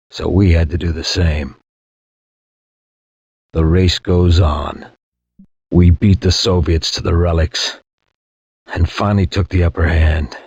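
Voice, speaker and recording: Deep, husky war-weary soldier narrator. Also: male voice, a little mellow, no room sound, full character voice. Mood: brooding. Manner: grave, measured, reflective. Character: war-weary male space soldier recounting a past campaign. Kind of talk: dramatic scripted war-memoir narration. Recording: dull, lo-fi game audio.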